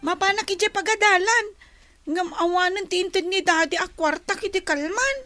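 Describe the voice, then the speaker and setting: A woman's voice: very high voice, moderate pace, sharp tone, dry recording, soft background music. A Filipino woman voicing a small schoolchild who asks for things in a radio drama. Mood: pleading. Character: small schoolchild asking mother for money. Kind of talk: Philippine radio drama acting.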